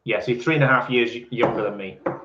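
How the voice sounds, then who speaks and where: A man's voice: mid-range voice, fast, background noise. A man in his forties co-hosting a live stream, teasing his partner in casual banter and reading out viewer comments. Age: 40s. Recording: echoey, slightly dull live stream sound.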